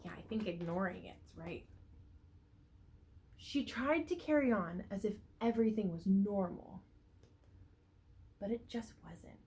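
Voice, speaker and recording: A woman teacher reads a picture book aloud to young children, stretching words for emphasis and pausing to ask them questions. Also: mid-range voice, lively intonation, darkish tone. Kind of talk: read-aloud storytelling with asides and questions to young listeners.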